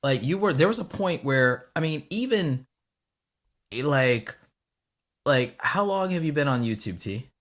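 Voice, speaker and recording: A man's voice: a little high, lively intonation, a little slow, fairly bright tone. A man in his thirties hosting a live stream in casual chat, earnestly mentoring his guest and repeating points for emphasis. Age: 30s. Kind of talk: casual chat, giving advice. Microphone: video call.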